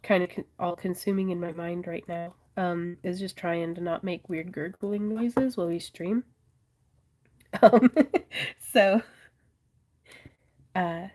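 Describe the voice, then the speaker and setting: A woman's voice: fairly low pitch, fairly even intonation, takes it slowly, pauses often. Congested woman chatting on a craft stream. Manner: chatty, reads viewer comments aloud, frequent soft laughs. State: congested and losing her voice, coughing. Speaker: craft livestream host. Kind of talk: casual livestream chat while crafting.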